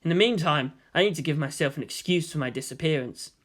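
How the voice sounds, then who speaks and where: A man's voice: on the high side, fast, fairly bright tone. Casting: brooding teenage boy leader, read by a man, guarded, terse and dry, for storybook fiction. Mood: guarded, weary.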